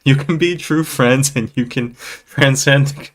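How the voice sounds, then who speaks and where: A man hosting a casual livestream, talking in a playful, rambling way with halting restarts and replies to viewers. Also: medium pitch, fairly bright tone. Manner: playful, jokey, often chuckling. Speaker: podcast livestream host. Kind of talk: casual livestream chat, reading viewer comments.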